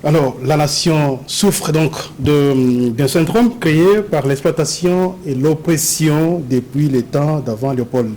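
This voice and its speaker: A man with a Congolese French accent hosting a television interview show in a formal, measured way. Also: a little high, dark tone. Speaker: host of a TV talk show. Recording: clear background noise and ambience.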